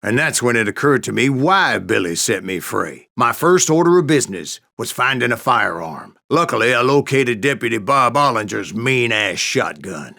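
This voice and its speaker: An actor playing an old Wild West bounty hunter who tells boastful tall tales in a folksy American Western drawl for a video game. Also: male voice, medium pitch, sharp tone, no room sound, clean studio sound. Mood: boastful, spirited. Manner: folksy, salty, cussing storyteller. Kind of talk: theatrical storytelling narration for a western video game.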